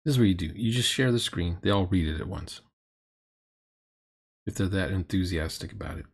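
Husky, exasperated man. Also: deep voice, a little fast. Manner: exasperated, sarcastic, blunt. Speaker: critic reacting to a tabletop roleplaying video. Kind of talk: unscripted reaction commentary.

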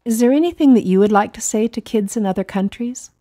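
Mellow-voiced female interviewer. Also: a little high, quick pace. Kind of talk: scripted interview questions.

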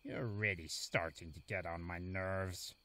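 An actor playing a gruff, lonely male forest beast in a cartoon, curt and dryly dismissive. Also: sits low, fairly even intonation, unhurried, bright, forceful tone, exaggerated voice. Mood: irritated, aloof. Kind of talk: animated fan drama acting.

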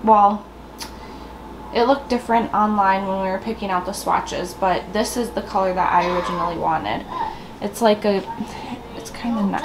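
Raspy woman vlogging at home, unhurried. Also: mid-range voice, background noise. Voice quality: raspy morning voice. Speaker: family vlogger and mother. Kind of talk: casual chatty day-in-the-life vlog. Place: rooms at home, indoors. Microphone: camera at arm's length, sometimes set down.

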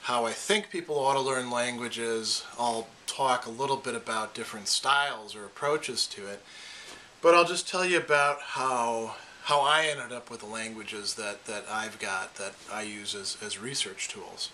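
A man's voice: medium pitch, unhurried, bright, forceful tone, slight background noise. A man from Wisconsin with an Upper Midwest American accent, talking casually to camera as a philosophy lecturer and often restarting his phrases. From Wisconsin, USA. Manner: thoughtful, repeats words as he restarts. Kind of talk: casual personal talk to camera.

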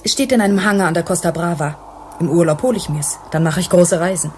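Actress as candid, lowish-voiced secretary. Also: female voice, fast, a little mellow, a little hiss or hum, lightly acted. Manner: candid, casual, polite. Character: frank, obliging female office secretary at a space colony. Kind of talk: German radio play acting.

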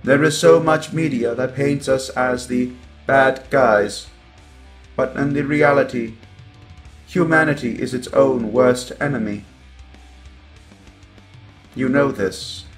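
Evil robot overlord, played by a man for audio roleplay: male, coldly superior, very measured pace, stuttering glitches, music underneath. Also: medium pitch, lightly acted. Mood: cold contempt. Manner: deliberate, glitching stutters on words. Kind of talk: scripted robot roleplay monologue.

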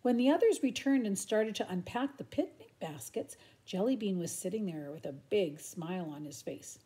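An older woman reads a children's story aloud at home. Her delivery is expressive and animated, with a soft, mellow tone. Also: mid-range voice, normal speed. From Canada. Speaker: storybook reader. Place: room at home.

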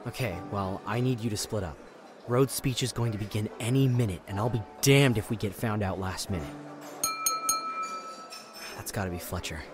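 A man's voice: lowish voice, moderate pace, pauses often, over music, natural voice. A man acting a bossy, impatient heist crew leader in an audio drama.

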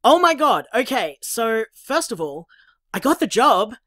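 A woman's voice: a little high, very animated, unhurried, fairly bright tone, no room sound, full character voice. A woman with an Australian accent playing an upbeat, teasing young partner in a naturalistic audio drama, breezy and chatty. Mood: excited. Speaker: podcast drama actor.

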